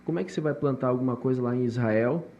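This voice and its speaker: A man's voice: medium pitch, fast talker, dull audio. A male podcast host who asks long, rambling questions full of restarts. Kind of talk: podcast interview.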